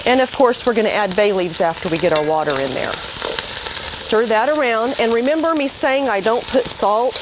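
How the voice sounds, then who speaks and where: A woman's voice: mid-range voice, background noise. A middle-aged woman hosting a cooking show, talking viewers through each step in a chatty, homey way while cooking at the stove. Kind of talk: cooking demonstration. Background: sizzling pan and stirring.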